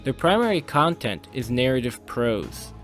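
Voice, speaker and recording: A man reads a scripted video essay with animated intonation. His wording is formal and literary, and he argues his opinions over faint background music. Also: mid-range voice, moderate pace.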